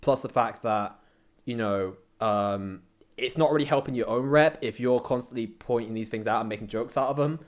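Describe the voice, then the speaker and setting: A man's voice: mid-range voice, normal speed. Young man, slangy and rambling. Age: young adult. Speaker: interview guest. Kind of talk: casual chat.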